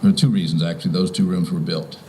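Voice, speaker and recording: A mature man with a very deep and dark, relaxed voice. He gives an informal welcome speech, often stopping and rephrasing mid-thought. There is some background noise around him outdoors. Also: quick pace. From Virginia, United States. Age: 50s or older. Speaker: property owner welcoming guests. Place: outdoors in front of a house.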